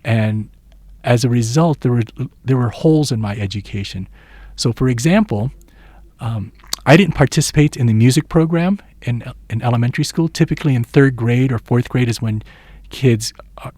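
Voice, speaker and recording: A man's voice: mid-range voice, normal speed, a little mellow, dry recording. A man in his sixties, originally from the Philippines, giving thoughtful, earnest interview answers as an education professor and telling stories from his teaching. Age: 60s.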